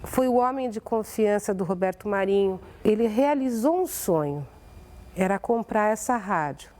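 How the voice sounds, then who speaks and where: A woman with a Brazilian accent speaking in an interview as a radio executive, halting and emphatic with pauses. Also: mid-range voice, animated, warm tone. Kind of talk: interview reminiscence.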